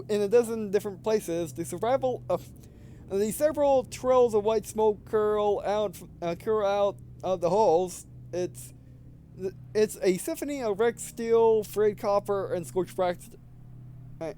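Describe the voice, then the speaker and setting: A man reading game text aloud and commenting casually as he plays, halting and stumbling over words, with some background noise. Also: sits high, warm tone. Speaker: gameplay video host.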